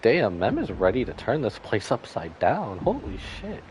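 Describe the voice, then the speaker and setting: A man's voice: mid-range voice, wildly swooping pitch, normal speed, darkish tone, slight background noise, low-quality recording. A man streaming a video game, giving casual, wry reaction commentary. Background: game music.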